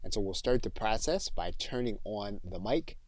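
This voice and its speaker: A man narrating an instructional software tutorial in a deliberate, step-by-step manner. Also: on the low side, lively intonation, no echo.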